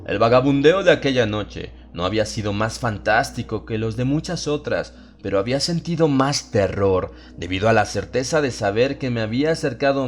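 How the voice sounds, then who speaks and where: Low-voiced male horror narrator over prominent music. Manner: ominous, theatrical, measured delivery with drawn-out phrases. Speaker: audiobook narrator. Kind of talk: dramatized horror audiobook narration. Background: atmospheric music bed.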